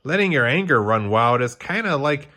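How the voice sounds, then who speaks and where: A man's voice: mid-range voice, expressive, normal speed, bright, forceful tone. A man voicing a goofy, naive male cartoon vegetable detective, oblivious and matter-of-fact, in a children's storybook. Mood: earnest.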